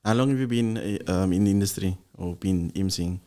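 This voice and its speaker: A man from South Africa co-hosting a podcast, asking questions in a relaxed way with short replies. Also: sits low, fairly quick. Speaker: podcast co-host. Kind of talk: casual podcast chat.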